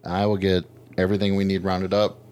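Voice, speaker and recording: A man's voice: low-pitched, completely flat delivery, a little fast, a little mellow, slight background noise. A man co-hosting a podcast, chatting casually in loose, slangy, colloquial speech. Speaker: podcast co-host. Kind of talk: casual chat.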